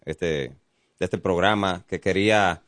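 A man with a Dominican accent, a police officer guest explaining the law on a radio show in a calm way with many fillers. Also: deep voice, a little slow, no room sound, clean studio sound. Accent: Dominican Spanish. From Dominican Republic. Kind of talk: informative radio interview. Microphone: studio mic.

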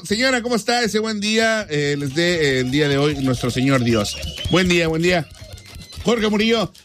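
Playful high-pitched male radio host. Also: fairly bright tone. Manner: playful, teasing, slangy. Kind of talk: casual call-in show chat with dedications and plugs. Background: music.

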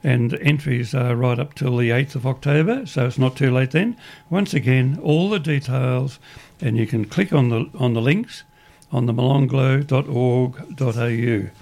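An Australian man hosting a community radio show, with a croaky voice today, rambling through announcements with frequent restarts in an upbeat way. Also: mid-range voice, normal speed, hardly any pauses, a little mellow.

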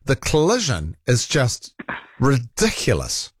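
A man's voice: mid-range voice, wildly swooping pitch, slow, husky, dry recording, studio quality. A man hosting talkback radio, chatting in an easygoing way with stumbling restarts. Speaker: radio talk show host. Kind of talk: casual talkback radio chat. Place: radio studio.

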